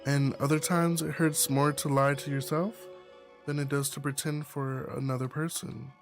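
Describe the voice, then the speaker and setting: A performer reading a visual novel voices a calm, devoted young romantic partner in a gentle, measured, reassuring way. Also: medium pitch, faint music behind, close to an everyday voice. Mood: thoughtful, comforting.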